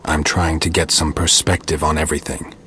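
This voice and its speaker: A monster hunter with an extremely deep, gravelly voice. He is calm and terse, weighing things reasonably in a restrained game performance, over faint ambient noise. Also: a little fast. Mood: measured, stoic. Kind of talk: video game voice acting.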